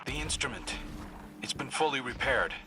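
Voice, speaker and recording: A steady mission commander voiced by a man gives matter-of-fact game briefings. The voice sits at a middle pitch and stays purposeful, with music clearly behind it. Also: moderate pace, natural voice. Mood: focused. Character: steady, businesslike male mission commander guiding a soldier. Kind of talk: video game mission dialogue.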